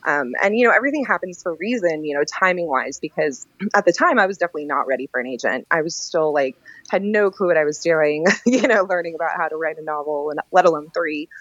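A woman's voice: sits low, expressive, fast, fairly bright tone, no room sound, muffled recording. A woman speaking as a guest author in a casual, chatty and informal interview conversation.

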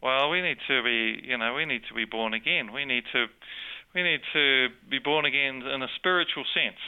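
A man's voice: mid-range voice, moderate pace, smooth, narrow, tinny audio. Earnest man with a New Zealand accent. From born in Australia, grew up in New Zealand. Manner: earnest storytelling, frequent restarts. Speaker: interview guest. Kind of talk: faith testimony in conversation.

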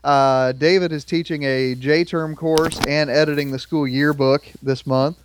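A man in his thirties hosting a podcast in a relaxed, conversational way, slightly hoarse from a cold. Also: fairly high pitch, unhurried, noisy background. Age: 30s. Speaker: podcast host. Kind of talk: casual chat.

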